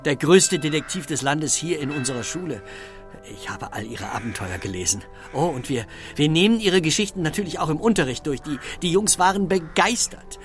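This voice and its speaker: Radio-drama clergyman, played by a man: gushing and excitable, swooping mid-range voice, music behind. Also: on the high side, brisk, natural voice. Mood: flustered delight. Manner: effusive, fawning, slightly flustered. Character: gushing, excitable male reverend running a boys' school. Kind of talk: German radio play acting.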